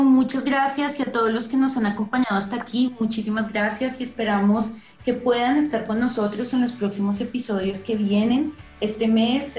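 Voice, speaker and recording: A woman hosting a business podcast interview, talking casually and often restarting her phrases, who says her Spanish has slipped because of Portuguese. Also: on the high side. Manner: warm-hearted, repeats herself, restarts phrases. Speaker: podcast host. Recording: echoey room.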